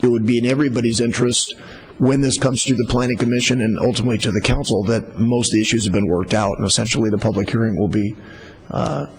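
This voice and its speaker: A man answering council questions at a public meeting, halting, with frequent restarts and fillers. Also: medium pitch, steady tone, slight background noise, rough recording. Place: council chamber.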